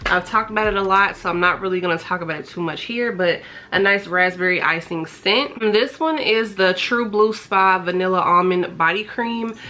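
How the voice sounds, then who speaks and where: A woman in her thirties talks straight to camera, her voice congested and a touch raspy from a cold. She chats eagerly about scents and lotions she has used up, recorded close on a compact camera's built-in mic in a small bedroom. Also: medium pitch, moderate pace, hardly any pauses, bright, forceful tone. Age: 30s. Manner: chatty, enthusiastic about scents. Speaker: beauty and fragrance vlogger. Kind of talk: casual product review to camera. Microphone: compact camera's built-in mic at close range.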